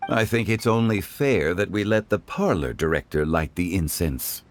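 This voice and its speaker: An actor playing a refined, learned gentleman in a video game, speaking in a calm, formal, measured and courteous way. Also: low-pitched, a little hiss or hum, lightly acted. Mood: calm, gracious. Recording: music behind the voice.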